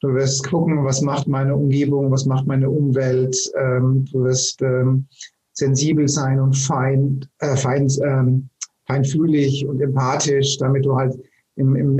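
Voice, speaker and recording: A man, a charisma expert on as a guest, explaining conversationally and halting as he repeats words while searching for them. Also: mid-range voice, flat delivery, mellow. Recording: roomy echo.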